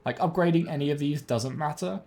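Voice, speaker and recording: A young man streaming a card game from home, thinking aloud in a relaxed way and correcting himself, sounding a bit sniffly and bunged up. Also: fairly high pitch, brisk, gravelly. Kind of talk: gameplay commentary. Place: home room with webcam. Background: faint game music.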